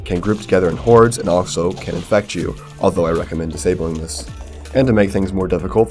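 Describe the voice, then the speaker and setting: A man narrating a scripted gaming tutorial in a measured, explanatory way, as a video creator. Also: sits low, darkish tone. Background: background music.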